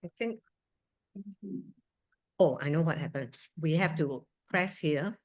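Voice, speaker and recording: A woman's voice: low voice, lively intonation, slow. A woman in her sixties from Singapore, a surgeon giving an earnest talk at a museum event with chatty asides. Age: 60s. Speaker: guest speaker, surgeon. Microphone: video call.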